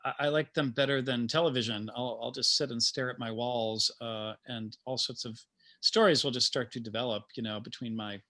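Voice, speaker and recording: Bright-voiced man, halting and thoughtful. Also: medium pitch, steady tone. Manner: halting, thoughtful, chuckling asides. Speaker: playwright and teacher, guest speaker. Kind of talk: informal talk about creative process.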